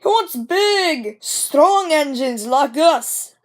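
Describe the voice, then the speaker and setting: A woman's voice: high voice, animated, drawn-out words, pitched above the performer's own voice, exaggerated voice. A woman voicing big, boastful, scornful railway engines in a storybook, in a taunting manner. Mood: scornful boasting.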